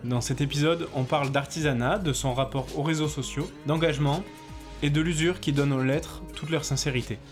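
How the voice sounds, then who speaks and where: A man hosting a podcast, asking questions in a relaxed, conversational way with some fillers. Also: on the high side, brisk, echoey room, soft background music. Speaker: podcast host. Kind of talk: podcast interview.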